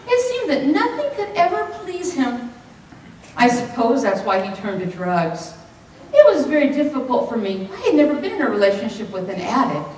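A middle-aged woman performing a comedic tall tale on stage, with theatrical, deliberate delivery and dramatic pauses. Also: fairly high pitch. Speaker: speech contest contestant. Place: hotel banquet room, indoors. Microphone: far camera microphone. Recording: echoey room from far off, some noise.

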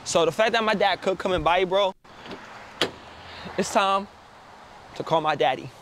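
A young man in his twenties with a Haitian accent, playfully talking his way through a prank in a liquor store and parking lot. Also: high-pitched. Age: 20s. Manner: fast, playful, mock-innocent. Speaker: prank content creator. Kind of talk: casual vlog talk to camera. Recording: noisy store and street ambience.

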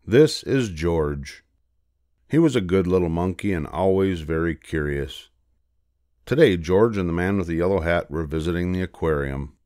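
An older man reading a children's storybook aloud as narrator, in a measured, expressive way. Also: deep voice, no room sound, clean studio sound.

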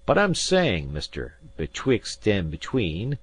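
A man's voice: fairly low pitch, expressive, measured pace, no room sound. A man voicing a defiant exile. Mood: wry. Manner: forceful, defiant declamation. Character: proud exiled Highland gentleman, middle-aged man, bold. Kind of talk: audiobook character voice.

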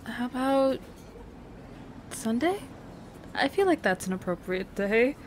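Casting: woman as young secret girlfriend in intimate roleplay audio. Unhurried, teasing, affectionate, mid-pitched, cafe noise behind. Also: big pitch swings. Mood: nervous, tender. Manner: teasing, giggly, hesitant with stammered restarts.

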